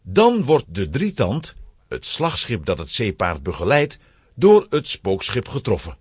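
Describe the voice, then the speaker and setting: Husky, very animated male storyteller. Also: on the low side, measured pace, no echo, studio quality. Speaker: narrator. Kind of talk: dramatic scripted children's story narration.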